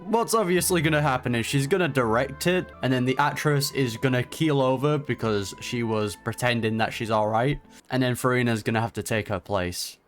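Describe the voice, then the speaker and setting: A woman's voice: a bass voice, fairly quick, hardly any pauses, faint music behind. A woman hosting a reaction video, giving casual, informal, slangy commentary on a game.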